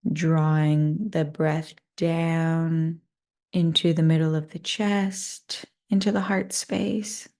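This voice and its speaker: A woman leading a guided meditation in a soothing, unhurried and gently inviting manner. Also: deep voice, little pitch movement.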